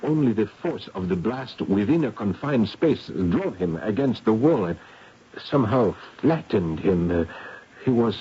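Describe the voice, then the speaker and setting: An actor playing an urbane, practical professor, theatrical and courteous, in a 1970s radio drama. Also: male voice, on the low side, lively intonation, measured pace, warm tone, slightly rough, exaggerated voice. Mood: apologetic, sober. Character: practical, worldly French professor, genial and urbane. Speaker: character and narrator. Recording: dull, hissy old radio broadcast.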